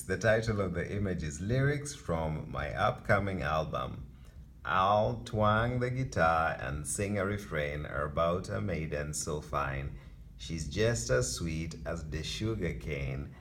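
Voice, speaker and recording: A man in his thirties from Kenya with a Kenyan accent, presenting his photography exhibition in a soft-spoken, halting way with fillers. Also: deep voice, lively intonation, gravelly, slight background noise. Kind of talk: gallery talk.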